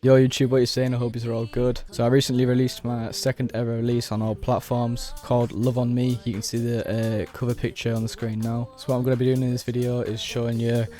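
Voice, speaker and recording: A young man with a hoarse, worn voice, casually walking through a music production tutorial step by step with plenty of fillers. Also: medium pitch, level tone, brisk, hardly any pauses, warm tone. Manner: laid-back, explains step by step with many fillers. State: hoarse, losing his voice.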